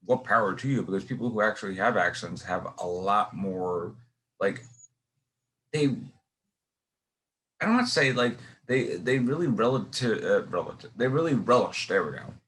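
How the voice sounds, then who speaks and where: A man with a Brooklyn accent hosts a podcast in a casual, rambling way, often circling back and restarting his questions. His voice sits somewhat low. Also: lively intonation, normal speed, a bit bright, rough recording. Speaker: podcast host.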